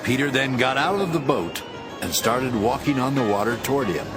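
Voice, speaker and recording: A man narrating scripture as a scripted, dramatic story in a measured storytelling manner. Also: mid-range voice, animated. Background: instrumental music.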